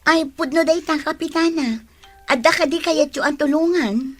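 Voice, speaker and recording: A woman's voice: sits high, normal speed, natural voice. A woman with an Ilocano accent playing the assured madam of a village charity in a radio comedy drama. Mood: businesslike, polite.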